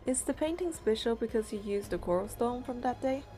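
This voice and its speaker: Mellow, skeptical young game heroine, acted by a woman. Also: fairly high pitch, animated, a little fast, soft background music, lightly acted. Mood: reflective. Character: practical, skeptical young heroine narrating her own story. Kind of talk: English-dubbed game acting with first-person narration.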